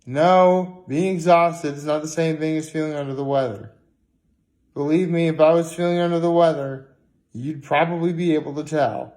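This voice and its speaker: A man with a somewhat high voice talks as a casual daily vlogger. He sounds tired and speaks in a halting, meandering way, often restarting and circling back to the same point. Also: steady tone, darkish tone. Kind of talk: casual rambling vlog monologue.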